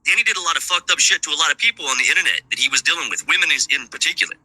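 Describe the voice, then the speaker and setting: A man's voice: a little high, lively intonation, rapid-fire, pushed and bright, no echo, low-quality recording. A man in a played video clip, casually commenting on news in a rambling, offhand way.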